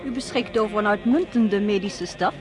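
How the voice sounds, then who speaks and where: A woman's voice: medium pitch, moderate pace, over music, lightly acted. A woman playing a self-assured American nurse. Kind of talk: radio drama acting.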